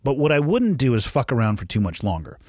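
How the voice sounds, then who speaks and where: A man's voice: medium pitch, sing-song, fast talker, a little mellow, no echo, clean studio sound. Blunt man in his forties. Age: 40s. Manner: blunt, profane, conversational. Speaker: podcast host. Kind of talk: solo Q&A advice segment.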